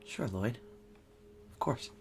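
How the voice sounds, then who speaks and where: A tough, wry female security chief, played by an actress, speaks in a low voice. She is dry, clipped and guarded, in the manner of noir audio drama. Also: takes it slowly, darkish tone, slight background noise, lightly acted. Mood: wary, coaxing. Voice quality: low-pitched.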